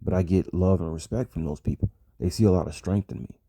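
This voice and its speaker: An American man talks casually to his followers in a deep voice with a gravelly fry. He rambles and circles back on his phrases for emphasis. The sound is dry and close. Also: quick pace, warm tone, no echo. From United States. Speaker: vlogger. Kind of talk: casual personal monologue to an audience.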